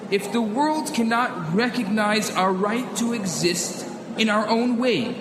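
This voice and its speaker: Man acting a resolute rebel leader, slow, deliberate oratory. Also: sits high, echoey room, noisy background. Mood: impassioned. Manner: earnest, declamatory, deliberate. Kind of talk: old-time radio drama reenactment speech.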